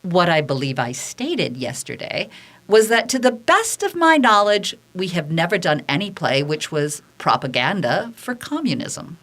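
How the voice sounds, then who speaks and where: Earnest, animated 1930s woman theater director testifying as acted by a woman. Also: fairly low pitch, a little slow, exaggerated voice. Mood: defensive. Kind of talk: staged radio theater reading.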